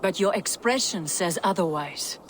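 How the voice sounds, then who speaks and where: A woman's voice: on the low side, animated, normal speed, slight background noise, lightly acted. An actress voicing a proud goddess queen in a video game, firm and indignant. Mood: questioning. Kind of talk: dramatic video game acting.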